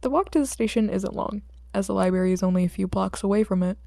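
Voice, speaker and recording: A woman narrating a fiction audiobook from a script, with expressive delivery. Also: medium pitch, fast talker, creaky, no echo.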